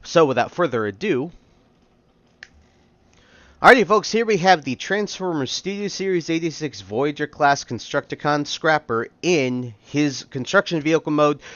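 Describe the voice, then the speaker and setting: A man's voice: mid-range voice, a bit bright, dry recording, highs cut off. A man with a slightly nasal, congested voice hosting a casual toy review at home, chatty and upbeat. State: congested, getting over a cold. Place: home recording room.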